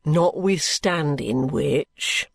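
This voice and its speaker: A reader voicing a sly, genial middle-aged male detective with a cheerful, coaxing, unhurried manner. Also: medium pitch, creaky, dry recording, voice pushed down, full character voice. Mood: wheedling. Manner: cheerful, coaxing, leisurely drawl. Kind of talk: audiobook character reading.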